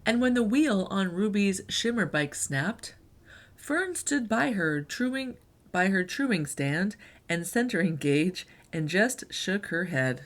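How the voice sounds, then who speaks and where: A woman reading a children's picture book aloud in an expressive storyteller's delivery. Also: mid-range voice, takes it slowly.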